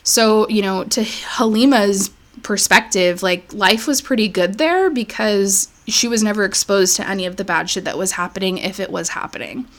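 A woman's voice: mid-range voice, normal speed. A woman co-hosting a podcast, casually retelling a life story with lots of fillers. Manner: relaxed, filler-heavy retelling. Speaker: podcast co-host.

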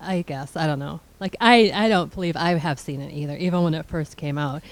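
A woman co-hosting a podcast in casual chat, speaking quietly and softly. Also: low voice, fairly quick. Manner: quiet, soft-spoken. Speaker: podcast co-host.

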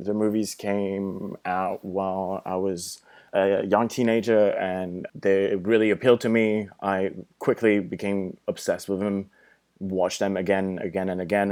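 A man in his thirties from France with a French accent, telling a personal story as a podcast guest. He is thoughtful and earnest and often pauses with ums and restarts. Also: low voice, a little mellow. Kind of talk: storytelling in a casual podcast chat.

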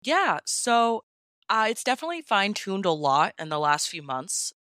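Bright, crisp-voiced woman chatting casually. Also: mid-range voice, big pitch swings, normal speed, no room sound, studio quality. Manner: chatty, informal, explains step by step. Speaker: podfic narrator being interviewed. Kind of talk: casual interview chat.

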